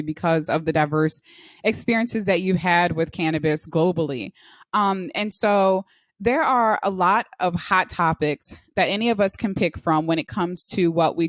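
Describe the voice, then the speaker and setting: A woman's voice: on the low side, no echo. A woman hosting a podcast interview, asking questions in an encouraging way. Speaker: podcast host.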